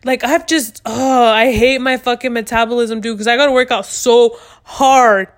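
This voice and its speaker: A woman in her twenties from the United States, hosting a podcast in loud, blunt casual chat and swearing freely. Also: fairly high pitch, steady tone, moderate pace. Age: 20s. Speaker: podcast host.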